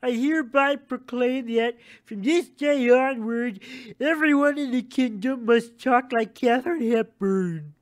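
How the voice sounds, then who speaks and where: Someone acting a slow-talking, whimsical bossy king. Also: mid-range voice, close to an everyday voice. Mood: pompous proclaiming. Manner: flippant, slangy royal proclamations. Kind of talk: comic audio sketch.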